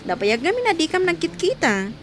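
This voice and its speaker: A woman playing a caring, chatty wife in a radio drama, conversational and expressive, with an Ilocano accent. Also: extremely high pitch, fairly quick, full character voice. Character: caring, chatty wife and older sister-in-law.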